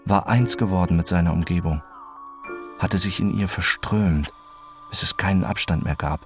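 An actor playing an introspective, obsessive man who narrates his own story in a scripted radio drama, measured and contemplative. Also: low-pitched. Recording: narration over very loud music bed.

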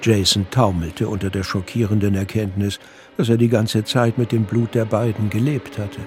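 A man narrating a scripted audio drama in a measured, dry storytelling manner. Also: lowish voice, little pitch movement, a little mellow, a little hiss or hum. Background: music.

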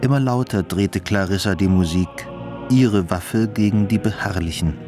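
Male narrator for literary radio drama, low register, music underneath. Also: normal speed.

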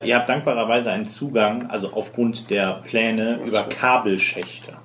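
A man's voice: lowish voice, fairly even intonation, normal speed, a bit bright. A man narrating and refereeing a tabletop role-playing game as game master. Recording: roomy echo, clear background noise.

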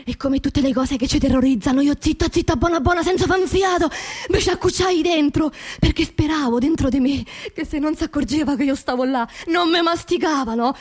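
High-voiced, raw Roman woman, played by an actress. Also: a little mellow. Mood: fearful, hushed. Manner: emotional, confiding, repeats words insistently. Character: anxious, grieving working-class Roman woman, plain-spoken and raw. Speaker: stage and radio monologue actress. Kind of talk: theatrical radio monologue in colloquial dialect.

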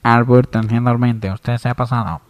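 Guy doing terse deadpan control tower man, medium pitch, radio comedy. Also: normal speed, close to an everyday voice. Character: matter-of-fact male air traffic controller. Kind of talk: absurd radio comedy sketch.

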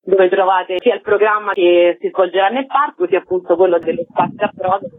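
A woman's voice: medium pitch, brisk, dry recording. Woman on a thin, dry phone line. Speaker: festival organizer, interview guest. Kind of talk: spoken event presentation in radio interview. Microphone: telephone call.

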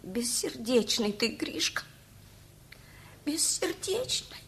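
A woman's voice: sits high, sing-song, slow, gravelly, a bit bright, a little hiss or hum, exaggerated voice. An older actress playing a fond, wistful elderly mother in a radio play, with a homely, plaintive way of talking. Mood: hurt, reproachful. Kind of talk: Soviet-style radio play acting.

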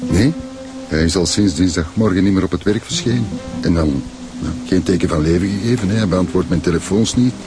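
An actor playing a building contractor boss with a Flemish accent, affable, colloquial and slightly evasive, in a radio drama. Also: male voice, sits low, a little fast, over music, close to an everyday voice. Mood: worried, exasperated. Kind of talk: naturalistic radio drama acting.

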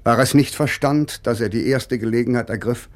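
An actor playing a grieving, bitterly ironic middle-aged man of letters, reading in a measured way for radio. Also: medium pitch, lightly acted. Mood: bitter irony.

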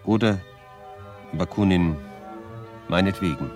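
An actor playing a solemn reciter of a poetic lament in a radio drama, speaking in spare, halting phrases. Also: male voice, low voice, darkish tone. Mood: solemn, elegiac. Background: violin music.

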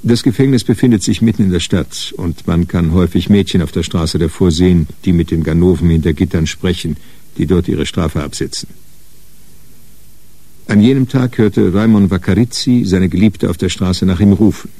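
A man narrating a radio crime drama in a sober, matter-of-fact, measured way. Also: low-pitched, warm tone. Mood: sober, grim. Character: sober narrator of a hard-boiled crime story.

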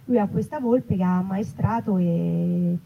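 A woman, a writer and actress, chatting casually and animatedly in an interview, often pausing to rephrase. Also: medium pitch. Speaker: writer and actress interviewed about her book. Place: live festival event. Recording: muffled recording with clear background noise.

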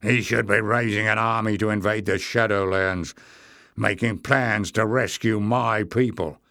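A man's voice: lowish voice, fairly even intonation, measured pace, bright, forceful tone, dry recording, studio quality. A man voicing a huge, belligerent, boastful warrior in a fantasy story, growling and blustering. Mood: grumbling. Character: hulking savage male warrior, boastful, belligerent, slow-witted.

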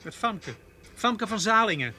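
Radio drama: young son, high voice, hesitant, mildly defiant. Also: male voice, sing-song, unhurried, sharp tone, exaggerated voice. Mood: defensive. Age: young adult. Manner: hesitant, answering back. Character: farmer's son, hesitant yet quietly defiant.

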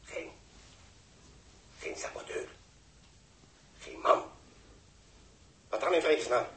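A man's voice: mid-range voice, lively intonation, takes it slowly, fairly bright tone, a little hiss or hum. A man acting a stern, agitated flight controller and father in 1960s radio drama, urgent and emotional, in an echoey old recording. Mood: shocked, disbelieving.

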